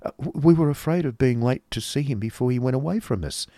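A man voicing an anxious, tender middle-aged mother in a storybook, soft and plaintive. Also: medium pitch, fast talker, no echo, studio quality, pitched above the performer's own voice, close to an everyday voice.

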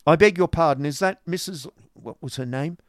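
A male reader playing a blunt, hard, stern gentleman who speaks forcefully and curtly. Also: a little high, a little fast, no echo, studio quality, pitched above the performer's own voice, lightly acted. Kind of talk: audiobook character voice.

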